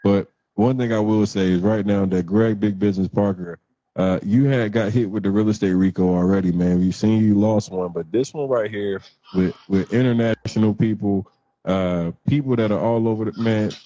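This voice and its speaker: Man, low and heavily mellow voice, blunt slangy livestream host, repetitive emphasis, muffled audio. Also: steady tone, moderate pace. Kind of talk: casual live talk with commentary.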